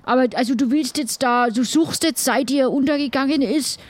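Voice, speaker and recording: A woman's voice: sits high, normal speed, dry recording. A woman, improvising in character as a chatty, direct, nosy pirate. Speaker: player in an improvised pirate audio adventure. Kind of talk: improvised in-character role-play dialogue.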